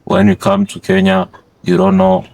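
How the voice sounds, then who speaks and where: A young man from Congo, a French speaker, explaining things in a relaxed, chuckling way. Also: high-pitched, normal speed, gravelly, no room sound. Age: young adult. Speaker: international student.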